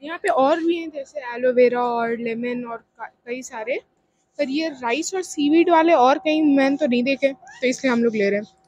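A woman's voice: sits high, moderate pace, darkish tone, poor audio. A young woman chatting directly to her viewers as she walks through a shopping mall for her vlog. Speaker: vlogger. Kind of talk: casual shopping vlog narration. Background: music.

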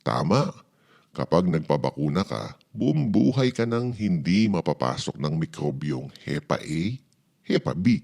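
A man's voice: deep voice, sing-song, a little slow, vocal fry, darkish tone, full character voice. A man with a Filipino accent playing a patient, reassuring father who explains things to his son in a storybook. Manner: patient, instructive.

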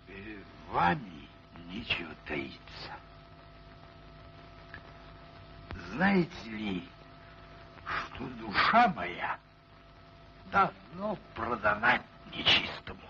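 A swaggering, troubled Cossack from an old cartoon. His voice is gravelly and forceful, swooping widely as he tells his tale in dramatic fashion. The soundtrack is dull. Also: mid-range voice, huge pitch swings, heavily acted. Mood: dark, anguished. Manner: slow, dramatic folk-tale telling. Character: brooding, swaggering Cossack storyteller with a troubled soul. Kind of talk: theatrical Soviet cartoon acting.

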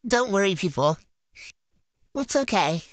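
A giggly woman bantering through a silly game video, her voice bouncing up and down in pitch as she brags in teen slang. She sounds close to the mic in a dead, dry space. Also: sing-song, unhurried, dry recording. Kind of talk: playful improvised banter voicing game characters.

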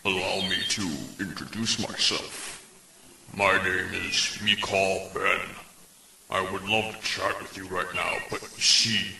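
A man's voice: sits low, level tone, unhurried, gravelly, sharp tone, a little hiss or hum, full character voice. A man playing a coldly mocking, mysterious villain in an amateur radio play, smug and taunting. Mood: cool contempt.

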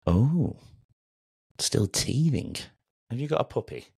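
A man's voice: mid-range voice, very animated, slow pace, vocal fry, mellow, husky, no room sound, studio quality. A man in his forties hosting a conversational podcast interview, speaking in an encouraging, affirming way. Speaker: podcast host. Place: small home studio indoors.

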